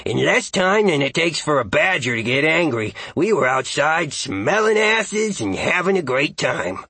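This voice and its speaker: A man voicing an excitable, playful male dog who narrates a story in a chatty, goofy, raspy voice. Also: a little high, unhurried, no echo, exaggerated voice. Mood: chatty, amused. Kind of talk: first-person audiobook narration.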